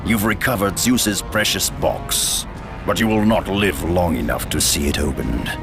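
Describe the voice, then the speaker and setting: An actor playing a cruel, gloating god of war in a video game, slow, menacing and mocking with a gravelly voice. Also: male voice. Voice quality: gravelly, very deep. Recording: loud music behind. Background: music.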